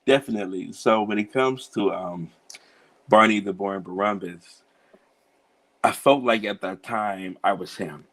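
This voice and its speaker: A man in his thirties from Cincinnati, a children's book author answering interview questions in a relaxed way, laughing easily and filling pauses with "um" and "you know". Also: fairly low pitch. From Cincinnati, USA.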